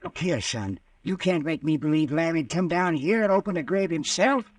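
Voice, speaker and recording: An actor playing a blustering, easily rattled older town mayor in an old-time radio horror drama, with an excitable manner. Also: male voice, fairly high pitch, moderate pace, dry recording. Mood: grumbling. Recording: vintage radio recording, distant mic.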